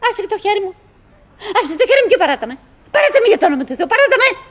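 An actress playing a chattering, wistful, nagging wife who clings to cheer, in halting, repetitive theatrical monologue for an absurdist radio play. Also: female voice, very high-pitched, big pitch swings. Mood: exasperated, scornful. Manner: halting, repetitive, theatrical, mimicking remembered dialogue.

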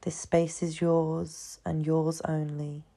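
Slow, low-voiced woman guiding meditation. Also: level tone, gravelly. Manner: soothing, hushed, unhurried with long pauses. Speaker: meditation guide. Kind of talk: guided meditation, slow scripted narration.

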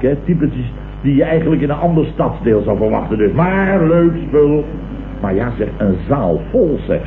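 An actor playing a self-important, indignant building contractor in a Dutch radio comedy, grumbling and ranting with sarcasm in a colloquial Dutch accent. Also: male voice, medium pitch. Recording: tinny, hissy old radio recording, roomy.

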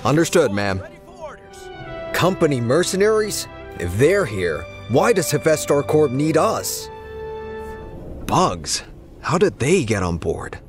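Game infantry captain, animated, highish voice, clipped, commanding, suspicious, dutiful. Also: male voice, slow, pauses often, music in the background. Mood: wary. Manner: clipped military speech. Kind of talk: video game dramatic acting.

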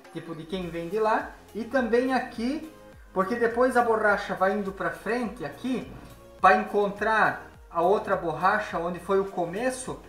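A man's voice: sits high, a bit bright. Male tradesman, 30s, hands-on explainer, roomy workshop sound with faint music under him. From Brazil. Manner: plain explanatory, frequent tag questions, working while talking. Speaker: window installer. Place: large workshop indoors. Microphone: phone camera nearby. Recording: echoey workshop with background music.

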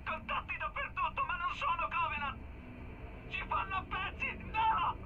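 Game soldier in terror: extremely high cries through a muffled radio, buried in loud noise. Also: male voice, lively intonation, normal speed. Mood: panicked. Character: terrified young soldier screaming for help over radio. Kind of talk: dubbed video game acting. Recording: muffled, distant, very noisy radio.

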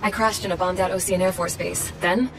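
Low-voiced, jaded woman pilot. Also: steady tone, fast talker, a little hiss or hum. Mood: wry. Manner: blunt, wry, slangy. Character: jaded, tough young woman pilot and mechanic telling her war story. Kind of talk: first-person cinematic video game narration. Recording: loud music under the narration.

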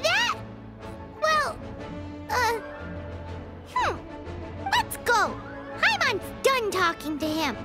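A tiny game companion girl speaks in an extremely high, squeaky voice. Her delivery is indignant and exclamatory, drawn out slowly with big swoops of pitch. Music plays clearly behind her. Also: wildly swooping pitch, heavily acted. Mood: flustered. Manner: speaks of herself in third person, exclamatory. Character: tiny childlike floating girl companion, indignant, easily won over. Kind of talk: video game voice acting.

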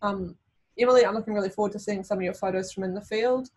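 A woman's voice: mid-range voice, steady tone, fast talker, a little mellow, husky. A woman hosting an online event, introducing the speakers with thanks and a few ums. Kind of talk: formal introduction.

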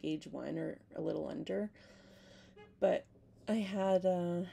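A young woman with a hoarse, heavily gravelly voice, talking to her webcam at home. Her tone is dark and husky, and she rambles with plenty of "like" and restarts. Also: low-pitched. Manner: rambling, self-interrupting, frequent "like". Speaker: vlogger. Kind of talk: casual talk to camera. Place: small home room, indoors.

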